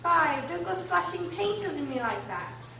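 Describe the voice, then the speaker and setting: A woman's voice: very high voice, very animated, moderate pace, exaggerated voice. A woman playing an indignant, complaining gardener in a radio drama. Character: grumbling, indignant palace gardener. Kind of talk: stage radio drama acting. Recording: echoey hall, distant mic, background noise.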